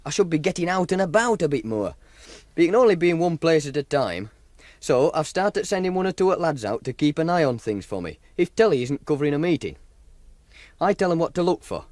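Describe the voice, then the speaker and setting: Radio play role: cocky Yorkshire betting schemer, lightish pitch, lively intonation, needling and colloquial. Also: male voice. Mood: matter-of-fact, instructing. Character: cocky, teasing Yorkshire racing punter running a betting scheme. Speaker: actor. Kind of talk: radio drama acting, monologue and dialogue.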